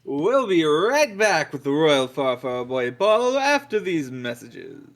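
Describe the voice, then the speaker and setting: A smooth TV announcer stretches out his words in broadcast style, read by a man. His pitch swoops widely and he takes his time. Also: sing-song, a little slow. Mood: announcing.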